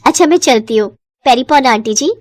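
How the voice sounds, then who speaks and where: Woman voicing a high, sweetly eager young neighbour. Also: animated, fairly quick, no echo, clean studio sound. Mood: eager, polite.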